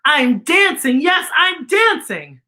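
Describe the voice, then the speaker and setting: A woman voicing a sensitive young male giraffe in an earnest, expressive storybook reading. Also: high-pitched, slow, voice pushed up, full character voice. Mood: elated. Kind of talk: children's storybook read-aloud.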